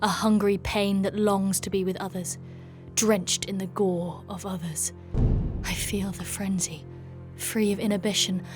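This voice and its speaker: An actress playing a proud, tormented warrior in a dark fantasy audio drama, speaking with solemn intensity and formal, poetic diction. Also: female voice, mid-range voice, close to an everyday voice. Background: music.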